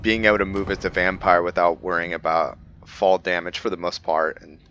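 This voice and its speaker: A man giving casual let's-play commentary, curious and thinking out loud, now and then dropping to a hushed whisper. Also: low voice, little pitch movement, a little fast, lo-fi sound, a little hiss or hum. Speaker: gameplay commentator.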